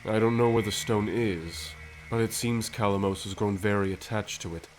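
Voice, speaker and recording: A man acting a mysterious, composed visitor with uncanny powers in an audio roleplay, speaking formally and deliberately. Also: lowish voice, steady tone, faint music behind, natural voice. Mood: calm, cryptic.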